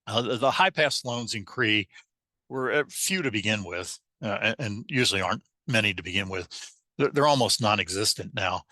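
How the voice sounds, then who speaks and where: Hoarse male webinar presenter, fairly low voice, bright and forceful tone, halting delivery, dry close mic. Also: expressive, no room sound. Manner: halting, with frequent restarts and fillers. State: hoarse, losing his voice.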